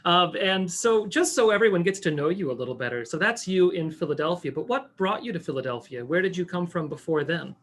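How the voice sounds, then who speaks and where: A man's voice: high voice, lively intonation, brisk, few pauses, fairly bright tone. A man hosting a casual, chatty interview in an enthusiastic, effusive way. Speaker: interview host. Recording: faint background noise.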